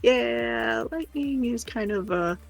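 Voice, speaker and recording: Slow, creaky, husky-voiced woman. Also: on the high side, faint music behind. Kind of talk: casual chat.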